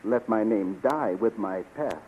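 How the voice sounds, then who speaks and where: An actor playing a brave, devoted officer in a 1930s radio serial, speaking in an earnest, formal, theatrical way. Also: male voice, lowish voice, unhurried, lightly acted. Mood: humble, pleading. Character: brave, devoted military officer, flowery and zealous, at times posing as peddler. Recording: noisy muffled 1930s radio recording.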